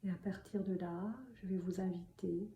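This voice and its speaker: A woman meditation teacher guiding a sitting meditation in a calm, soft, breathy voice that often nears a whisper, giving gentle instructions with long pauses. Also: lowish voice. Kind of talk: guided meditation. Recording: roomy echo, faint background noise.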